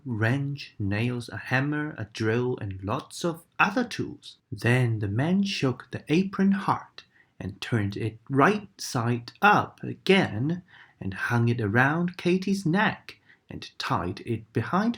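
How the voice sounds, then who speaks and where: A woman reading a bedtime story aloud to children, gentle and animated. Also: rumbling low. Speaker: storybook reader.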